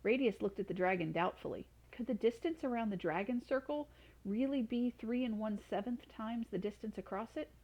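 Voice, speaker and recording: A woman's voice: on the high side, moderate pace, darkish tone, poor audio. A woman storyteller reading expressively to children. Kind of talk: expressive storybook read-aloud.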